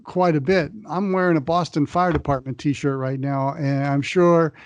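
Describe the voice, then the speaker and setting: A man with a somewhat high voice talks sports on a podcast in a wry, chatty way, often hesitating mid-thought. The recording is dry and close, with no room sound. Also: steady tone, moderate pace, dry recording, highs cut off. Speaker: podcast co-host. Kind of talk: casual sports talk.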